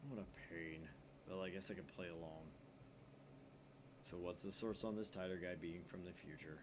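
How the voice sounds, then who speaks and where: Dude doing a chill hacker bro, low and lazy, kinda mumbly. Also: male voice, fairly even intonation, moderate pace, background noise, close to an everyday voice. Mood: dismissive. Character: laid-back young male hacker friend.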